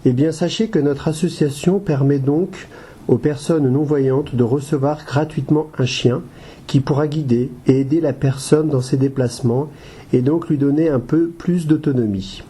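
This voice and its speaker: A man playing a patient, formal and polite association adviser with a soft, slightly husky voice in an amateur audio drama. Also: a little high, normal speed. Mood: courteous, informative. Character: courteous, patient male guide-dog association adviser.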